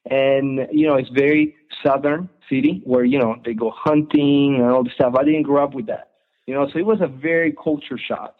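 Young man, halting speech, thin phone-like sound. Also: medium pitch, fairly even intonation. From Ecuador. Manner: halting, restarts phrases often. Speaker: guest. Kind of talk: casual interview. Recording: tinny phone-like line.

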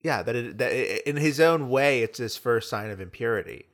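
A man's voice: medium pitch, expressive, moderate pace, a bit bright. A man co-hosting a podcast, casually discussing a film and feeling his way through his phrasing. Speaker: podcast co-host.

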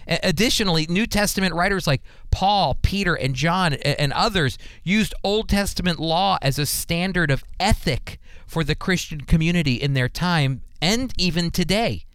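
A man teaches scripture in an earnest, emphatic way. His voice sits a little higher than average and is smooth and clean. The sound is dry and close, with points driven home firmly. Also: a bit bright, no room sound. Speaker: host and Bible teacher. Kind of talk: radio Bible teaching, sermon commentary.

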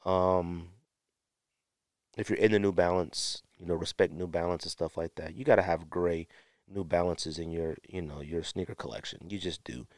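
American male podcast host, middle-aged, lowish register, dry close sound, slangy and conversational. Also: steady tone, moderate pace, dry recording. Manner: conversational, slangy, emphatic repetition. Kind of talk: casual solo podcast talk.

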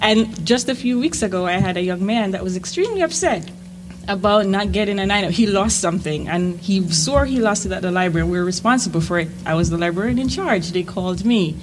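A woman's voice: medium pitch, animated, fast talker. A woman from Jamaica speaking as a panel guest, telling stories about her work in a casual way. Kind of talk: casual anecdotal storytelling. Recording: noisy live room with clicks.

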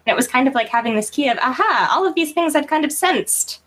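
A woman's voice: high voice, lively intonation, quick pace, a bit bright. A woman chatting casually as a guest author in an interview, informal and self-deprecating in manner.

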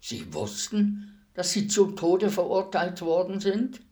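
A man's voice: high voice, very animated, takes it slowly, mellow, full character voice. Courteous, matter-of-fact male executioner. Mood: polite, calm. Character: polite state executioner, businesslike, unruffled, deferential hired killer. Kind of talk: German radio play acting.

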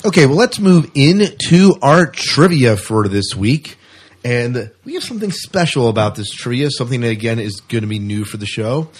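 A man's voice: mid-range voice, very animated, normal speed, slightly rough. A man hosting a podcast in casual banter, chatty, jokey and enthusiastic, often repeating himself for emphasis. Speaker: podcast host.

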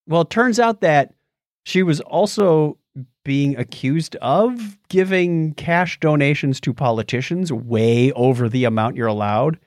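A man's voice: on the high side, lively intonation, measured pace, darkish tone, dry recording, studio quality. A man co-hosting a podcast, casually retelling a story in a conversational way with asides. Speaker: podcast co-host. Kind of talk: casual chat, recounting a documentary.

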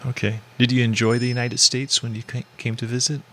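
A man's voice: medium pitch, flat delivery, a little fast, fairly bright tone, a little hiss or hum. A male podcast host asking questions in a hesitant way, with many fillers and restarts. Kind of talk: casual interview.

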